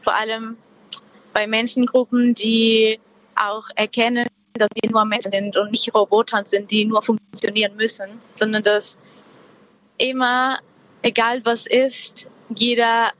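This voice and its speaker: Woman from peru musing slow on a call, bit high voice, muffled lo-fi sound. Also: flat delivery, a bit bright. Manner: thoughtful, slow, many hesitations. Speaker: guest in a conversation. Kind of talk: casual reflective chat. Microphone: video call.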